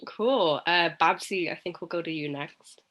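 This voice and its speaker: A man's voice: fairly high pitch, measured pace, bright, forceful tone. A man with an Irish accent hosting a casual interview over a video call, with lots of ums and short, friendly replies. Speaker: host.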